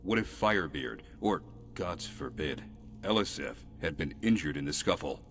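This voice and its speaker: Man acting a very deep-voiced, exasperated guard officer. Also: lively intonation, moderate pace, a bit bright, soft background music. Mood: stern, irritated. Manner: weary, stern, sardonic. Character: gruff, exasperated male city guard officer. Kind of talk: video game character dialogue.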